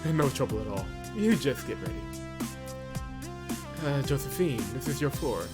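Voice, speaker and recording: A man's voice: mid-range voice, very animated, moderate pace, close to an everyday voice. A man voicing a courteous, confident young billionaire boss in a visual novel, polite and formal. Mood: reassuring. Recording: loud game music behind voice.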